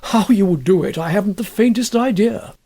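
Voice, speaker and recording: A man voicing a confident gentleman friend who gives droll advice in a matter-of-fact way in a storybook. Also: sits high, a little fast, pitched above the performer's own voice. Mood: droll, assured.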